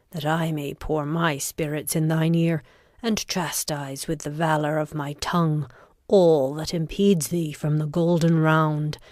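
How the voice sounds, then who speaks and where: A woman playing an ambitious, commanding noblewoman who schemes, in a dramatic Shakespearean reading with deliberate, intense delivery. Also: deep voice, no room sound, clean studio sound. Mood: scheming.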